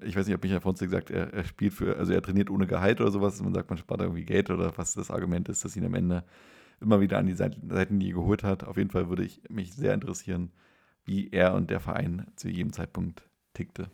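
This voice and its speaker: A man chatting casually as co-host of a football podcast, in a halting way with self-corrections. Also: deep voice, little pitch movement, vocal fry, darkish tone, breathy edge. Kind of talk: casual conversational football discussion.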